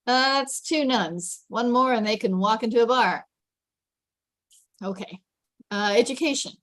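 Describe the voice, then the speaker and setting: A woman's voice: a little high, a little slow, fairly bright tone, no echo. A woman voicing a sarcastic young male office worker in a table read, with dry, joking delivery. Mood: deadpan joking.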